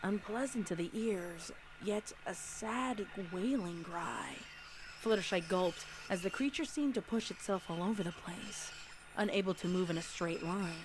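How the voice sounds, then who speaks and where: A woman's voice: medium pitch, unhurried. A woman reading fan fiction aloud as a storyteller, with casual reaction asides. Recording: faint background noise.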